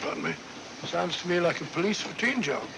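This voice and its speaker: High-voiced, blustering older English gentleman. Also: sing-song, brisk, gravelly, exaggerated voice. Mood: curious. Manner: blustery, muttering, indignant asides. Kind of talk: 1940s film acting. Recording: noisy 1940s film soundtrack, train rumble.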